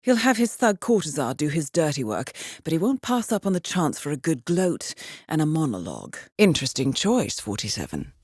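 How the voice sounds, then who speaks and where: An actress playing a composed, shrewd intelligence handler in a video game, briefing an assassin in a measured, formal way with dry understatement. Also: female voice, low voice, very animated, a little mellow, husky, no room sound, exaggerated voice. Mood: cool urgency, then dryly approving. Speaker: mission handler guiding an assassin. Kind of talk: scripted video game voice acting, briefing narration.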